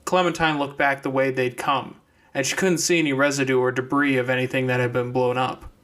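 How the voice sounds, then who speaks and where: A man's voice: mid-range voice, little pitch movement, brisk, a bit bright. A man narrating scripted fiction as an audiobook reader.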